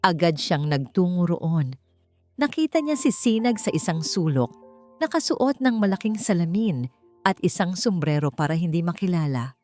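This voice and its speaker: A woman reading a scripted, dramatic suspense love story in short, measured phrases. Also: fairly low pitch, very animated, mellow. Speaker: narrator of a story channel. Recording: clear music bed behind the voice. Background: background music.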